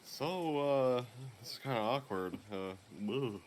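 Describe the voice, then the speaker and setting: A man voicing a shady, awkward traveling merchant in a game, flirting hesitantly as he pitches his wares. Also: animated, a little slow, a little hiss or hum, close to an everyday voice. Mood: awkward, flirty. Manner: hesitant, salesman-like.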